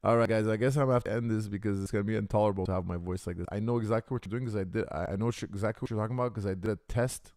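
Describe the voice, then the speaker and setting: A man's speech runs through a deep robotic voice filter, sitting low with a gravelly, fry-laden edge. He hosts a casual podcast stream, chatty and loose, and keeps calling his viewers guys. The sound is dry and close, with no room around it. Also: very fast, hardly any pauses, darkish tone, dry recording. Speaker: podcast host. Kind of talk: casual livestream talk. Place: Canada.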